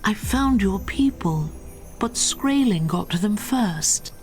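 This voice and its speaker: A resolute elf heroine speaks slowly and with composure. Her medium voice moves expressively across her short lines. A video game protagonist. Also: mid-range voice, animated, darkish tone, faint music behind. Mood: determined. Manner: composed, measured, brief. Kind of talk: video game cutscene voice acting.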